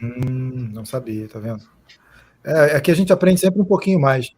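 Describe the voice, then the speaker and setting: A man's voice: medium pitch, lively intonation, normal speed, a little mellow, husky. A Brazilian man hosting a casual live stream, chatting and reading out viewer comments.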